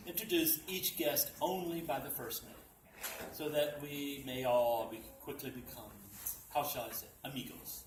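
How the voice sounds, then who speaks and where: A man reading the lines of a gracious, friendly woman singer, with slow, courtly phrasing and a few Spanish words. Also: medium pitch, fairly even intonation, close to an everyday voice. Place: library meeting room at a dinner event. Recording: echoey room, distant mic, background noise.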